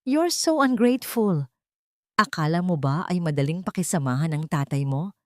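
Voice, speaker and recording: A woman's voice: lowish voice, expressive, normal speed, no room sound, clean studio sound, natural voice. A woman voicing a haughty, villainous stepmother, bitter and self-justifying, in an audio drama. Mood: indignant, bitter.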